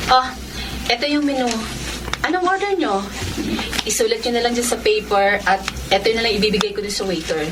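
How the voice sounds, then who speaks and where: A woman's voice: fairly high pitch, brisk, long pauses, background noise, lightly acted. A woman playing a conscience-torn young woman with a secret in a Filipino radio romance drama. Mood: casual. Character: conscience-torn young woman posing as her dead twin.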